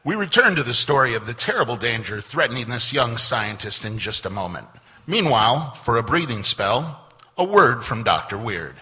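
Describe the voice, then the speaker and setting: Guy doing old radio announcer sales pitch, forceful, very lively intonation, echoey hall. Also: normal speed. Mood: grave, inviting. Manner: polished, emphatic salesmanship. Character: polished, upbeat male radio announcer and pitchman. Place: theater stage. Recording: echoey theater stage.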